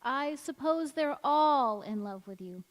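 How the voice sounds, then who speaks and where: A woman's voice: high voice, lively intonation, slow. A woman playing a polite, anxious married woman with genteel manners in a stage radio play. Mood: uneasy, wistful. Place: large hall, live stage performance. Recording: echoey hall with long reverb.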